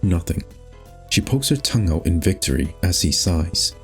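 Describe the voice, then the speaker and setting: Deep-voiced man narrating a game. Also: expressive, moderate pace. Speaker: narrator reading a game aloud. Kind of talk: scripted narration. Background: faint music.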